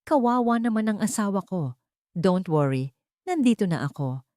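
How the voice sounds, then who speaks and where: A woman's voice: medium pitch, big pitch swings, moderate pace, warm tone, no echo, studio quality, natural voice. A woman voicing a scheming, falsely tender husband in an audiobook drama, coaxing and pushy.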